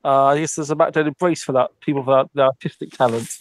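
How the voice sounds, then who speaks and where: A man's voice: mid-range voice, fairly even intonation, fast, a little hiss or hum. A man speaking in character during a tabletop roleplay, hesitant, with many ums and restarts.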